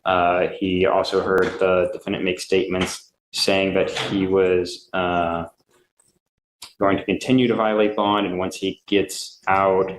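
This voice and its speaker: A man reporting formally to a judge as prosecutor in an echoey courtroom, halting and matter-of-fact. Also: low voice, level tone. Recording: echoey courtroom, faint noise.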